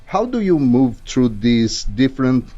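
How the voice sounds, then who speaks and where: A man hosts a podcast interview, slowly building up his long questions. His voice sits at an ordinary middle pitch. The sound is a little muffled, and faint music plays underneath. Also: sing-song, warm tone. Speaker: podcast host. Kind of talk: hosting an interview.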